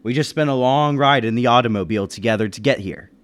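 A man's voice: mid-range voice, fast, natural voice. A man playing a reserved businessman and war veteran who narrates a 1930s-style radio mystery in a guarded, matter-of-fact way. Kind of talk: 1930s radio drama acting with first-person narration. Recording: music bed under the voice.

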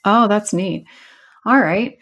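A woman's voice: medium pitch, slow pace, fairly bright tone, dull audio. A woman hosting a podcast, asking a guest questions in a friendly, encouraging way. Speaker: podcast host, interviewer. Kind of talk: casual interview questions.

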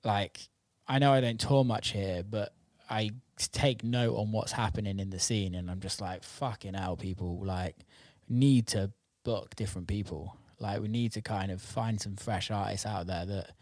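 A man's voice: lowish voice, steady tone, normal speed, hardly any pauses, darkish tone, no echo, studio quality. A man hosting a casual interview, chatting informally with plenty of slang and swearing. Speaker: interviewer and host. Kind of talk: casual chat.

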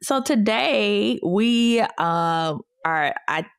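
A woman's voice: medium pitch, animated, very slow, gravelly, clear, resonant tone, no room sound. A woman with a Southern US accent co-hosting a podcast, folksy and playful, teasing her guest with Southern slang. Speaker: podcast co-host. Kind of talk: casual podcast interview.

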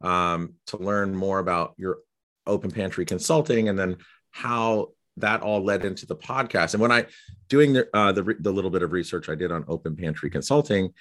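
A man hosting a podcast, asking questions with curious enthusiasm and a halting, filler-heavy way of talking. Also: deep voice, a bit bright. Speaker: podcast host. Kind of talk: casual conversational interview.

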